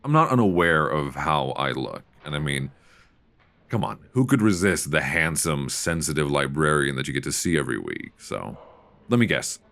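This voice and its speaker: A man playing a mild-mannered, flirtatious middle-aged librarian who can turn quietly commanding, in an intimate, conversational ASMR roleplay on a close mic. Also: very deep voice, sing-song, moderate pace, gravelly, no echo, full character voice. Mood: teasing, bashful. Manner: conversational, hesitant, teasing. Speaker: audio roleplay performer. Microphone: close binaural microphone.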